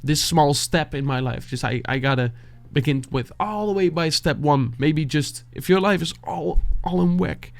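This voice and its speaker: Dutch guy talking soft n slow, gravelly, stops to find his words. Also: fairly high pitch. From Netherlands. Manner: soft, halting, searching for words, sighing. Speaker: beatboxer reacting to music. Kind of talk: reflective reaction talk.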